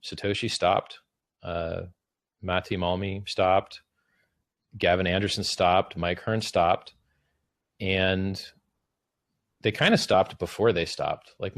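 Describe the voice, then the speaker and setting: Male debate host, very deep and gravelly, casual, filler-heavy, dry close sound. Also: fairly bright tone, dry recording. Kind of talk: spoken debate, summarizing the other side.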